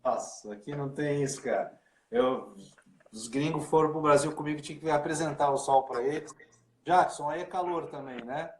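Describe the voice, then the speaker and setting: A man's voice: a little high, normal speed, a little mellow. A man, a pastor hosting a casual livestream chat over a video call, informal and talkative. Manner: chatty, informal, full of fillers like né and cara. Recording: echoey room.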